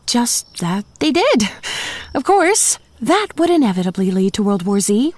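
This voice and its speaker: A woman's voice: sits high, a little mellow, dry recording. An actress playing a chatty, quirky zombie woman in her thirties, narrating a comedic audio drama with wry, playful asides. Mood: sardonic, rambling. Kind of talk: comedic audio drama monologue, breaking the fourth wall.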